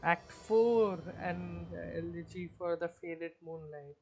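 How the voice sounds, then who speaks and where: A woman's voice: lowish voice, slow pace, darkish tone, highs cut off, slight background noise. A woman reacting casually to a game as she live streams it.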